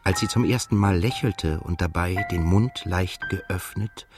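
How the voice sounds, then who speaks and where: An actor playing an introspective émigré writer, giving measured, reflective first-person narration in a literary radio drama. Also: male voice, low voice. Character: introspective male émigré writer recounting his love affair. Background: prominent music.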